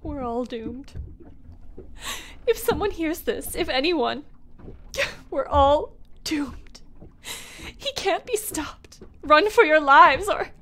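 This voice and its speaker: A female ship captain in an audio drama, frightened and worn down, her fairly high voice slipping into whispers. Faint noise sits under the recording. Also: animated, slow, long pauses, a bit bright, heavily acted. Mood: terrified. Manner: frightened, breaking into whispers and cries.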